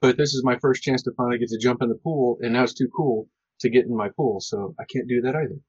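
Guy hosting a podcast, chatty and upbeat, average pitched voice. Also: quick pace, a little mellow, slightly rough, highs cut off. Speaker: podcast host.

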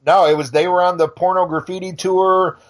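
A man's voice: sits high, level tone, a little fast. A man in his forties talking casually as a podcast guest, wry and rambling, with some swearing. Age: 40s. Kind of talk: casual chat.

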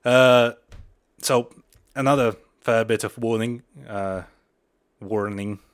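A man's voice: lowish voice, steady tone, slow. A young man from Buryatia in Russia, a native Mongolian speaker, hosting a podcast in a rambling style full of fillers and restarts. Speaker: podcast host. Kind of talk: casual podcast intro.

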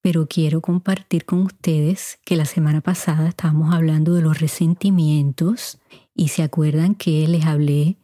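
A middle-aged woman with a mostly neutral Puerto Rican Spanish accent, hosting a podcast and answering listener questions in an unhurried, chatty way, speaking straight to her listeners. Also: low-pitched, steady tone, dark tone, no room sound, studio quality. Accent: Puerto Rican Spanish, kept mostly neutral. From Puerto Rico. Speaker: podcast host. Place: home recording room. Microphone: studio mic.

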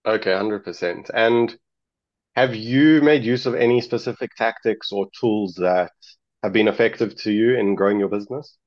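A man with a fairly low voice hosts a conversational interview. He puts questions to a guest informally, and the recording is a little muffled and lo-fi. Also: normal speed. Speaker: interviewer, show host.

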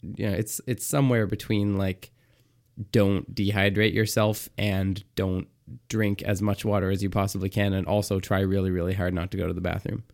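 A man with a raspy voice co-hosting a comedy podcast, chatty and explaining things with lots of fillers. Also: deep voice, level tone, normal speed, a little mellow. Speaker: podcast co-host. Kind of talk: casual comedic chat.

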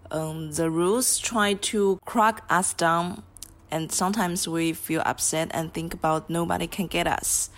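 A woman from Chongqing, a native Chinese speaker, speaking English with a Chinese accent in a hesitant prepared monologue with frequent fillers. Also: deep voice. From Chongqing, China. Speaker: guest speaker.

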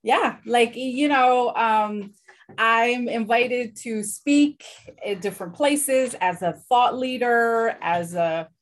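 A woman's voice: on the high side, slow. A woman guest in an interview, speaking earnestly and reflectively about her self-doubt. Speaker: guest, community engagement director. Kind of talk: candid interview answers.

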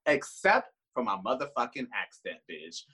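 A man with a Puerto Rican accent, a drag queen guest chatting in an interview with a sassy, animated manner. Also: medium pitch, moderate pace, breathy edge. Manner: sassy, animated, swears freely. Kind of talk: casual interview chat.

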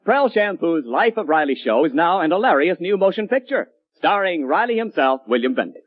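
A man's voice: a little high, sing-song, brisk, dry recording. A man working as a radio announcer, reading scripted promotional copy in 1940s broadcast style. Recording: tinny old radio recording, faint music.

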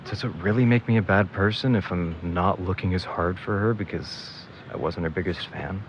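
A man plays a young big brother in an audio drama, guilty and defensive. His voice sits a little low and he rambles hesitantly. The sound is muffled, with clear background noise. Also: moderate pace. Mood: defensive, grumbling. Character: grumbling, guilty big brother dragged into a search. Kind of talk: audio drama monologue, naturalistic.

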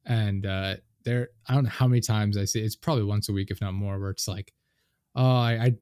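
A man hosting a podcast, his low voice a touch nasal, picked up close on a studio microphone in a dry room. He chats casually and rushes ahead when an idea grabs him, tripping over his words. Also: clear, resonant tone, no echo. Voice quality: slightly nasal. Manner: talks fast when excited and trips over his words. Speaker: podcast host. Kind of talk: casual interview chat. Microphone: studio dynamic microphone.

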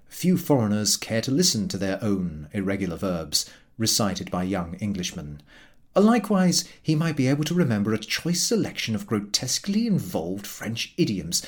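A man narrating a comic literary story in a formal, measured and expressive storytelling manner. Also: on the low side. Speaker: audiobook narrator.

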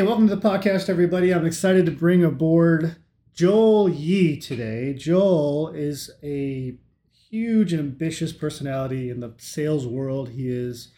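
A male podcast host with a mellow, warm voice, asking eager, rambling questions that circle back on themselves. His voice rings in a roomy space with noticeable echo. The delivery is casual and conversational. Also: on the high side. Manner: eager, loose phrasing with repeated words. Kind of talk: podcast interview.

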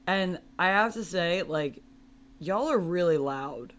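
Loud-voiced woman on a video call. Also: fairly low pitch, animated, slow. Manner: loud-voiced, laughs easily. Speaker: podcast co-host. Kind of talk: casual chat.

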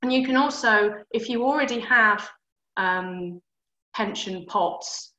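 Casting: woman guest with a bright, tense voice, clear explainer, dull and roomy recording. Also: medium pitch, slow pace. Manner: clear, practical, explaining step by step. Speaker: personal finance guest. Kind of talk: explanatory advice in conversation. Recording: dull echoey room sound.

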